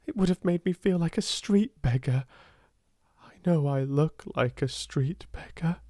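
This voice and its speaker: A woman's voice: low-pitched, unhurried, warm tone, no room sound, studio quality, pitched above the performer's own voice. A woman voicing a proud, half-starved young girl who speaks with fierce passion. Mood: passionate. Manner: passionate, intense.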